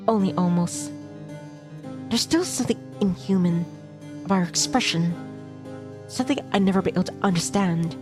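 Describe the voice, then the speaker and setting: A woman streamer reading a visual novel aloud in dramatic first person, tense and expressive, in short clipped phrases. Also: on the low side, moderate pace, long pauses. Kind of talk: dramatic first-person narration of a game script. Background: game soundtrack music.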